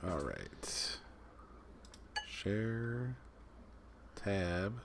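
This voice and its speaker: A man's voice: on the low side, wildly swooping pitch, extremely slow, creaky, noisy background. A man in a casual group podcast chat, laid-back and half-mumbling as he pulls up clips and jokes along. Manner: laid-back, mumbles while running the show's tech.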